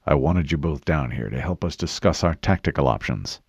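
A man voicing a calm, stoic military commander in an audiobook, with a terse, measured and authoritative delivery. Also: extremely low pitch, breathy edge, no echo, lo-fi sound, heavily acted. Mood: businesslike. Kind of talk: audiobook character voice.

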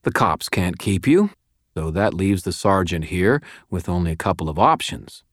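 A man's voice: deep voice, animated, normal speed, no room sound. A man playing a dry, tough, wisecracking detective in an audiobook, with a casual, sardonic way of talking. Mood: needling.

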